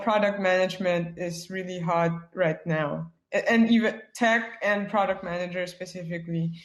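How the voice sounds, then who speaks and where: A woman from the Philippines, giving a casual webinar talk as a guest speaker, chatty and full of "like" and "yeah" fillers. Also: lowish voice. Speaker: guest speaker, product manager. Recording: echoey room.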